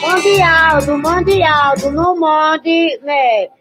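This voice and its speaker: A man's voice: very high-pitched, very slow, music in the background, voice pushed up, heavily acted. A man voicing a comic, pleading, simple-minded character who repeats himself.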